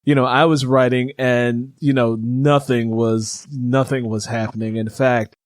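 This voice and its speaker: A middle-aged man speaks close and dry, with no room sound, at a medium pitch. He is a casual interviewer, chatty and jokey, often repeating a phrase as he feels out his point. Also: level tone, measured pace, dry recording. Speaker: interviewer, host.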